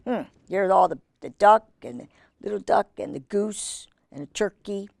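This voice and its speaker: A woman hosting children's storytime, reading aloud and chatting in an expressive, gushing manner with fond asides. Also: medium pitch, moderate pace, creaky, no room sound. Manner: gushing, expressive, exclaiming over pictures.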